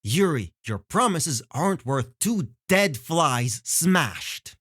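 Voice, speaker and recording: Actor doing a blunt snide coven member, slow drawl, voice swoops around, audio drama. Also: mid-range voice, sing-song, fairly bright tone, breathy edge, no echo, studio quality, full character voice. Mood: contemptuous.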